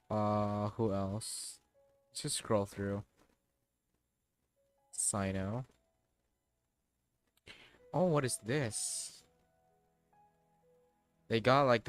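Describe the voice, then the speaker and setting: Male game streamer, lowish voice, unhurried and rambling casual commentary over clear background music. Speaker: game livestreamer.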